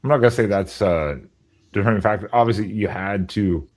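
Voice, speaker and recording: Very deep-voiced male host. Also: brisk, darkish tone. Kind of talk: casual chat.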